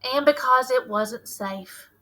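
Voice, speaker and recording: Lady doing a nervous southern kid, boy about eleven, pleading, high bright voice, storybook reading. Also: slow, full character voice.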